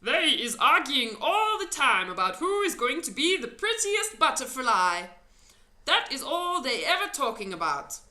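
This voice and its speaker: A woman puts on a big friendly giant from a storybook, earnest and full of wonder. The speech is slow and emphatic, in muddled folksy grammar, and swings widely in pitch. The room rings around the voice. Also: sing-song, sharp tone, reverberant, heavily acted. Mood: informative.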